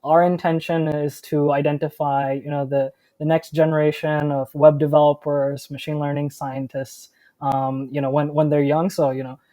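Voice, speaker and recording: A man's voice: on the high side, level tone, moderate pace, mellow, dry recording. A young man from India talking casually as a startup founder guest, chatty with many fillers and restarts. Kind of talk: casual interview.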